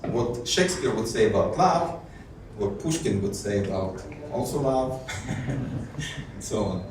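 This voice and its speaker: A man's voice: lowish voice, lively intonation, measured pace. Man speaking in an echoey conference hall. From Israel. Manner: hesitant, many fillers and restarts, light self-amused laughs. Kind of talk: technical conference talk. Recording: echoey hall, distant mic, faint noise.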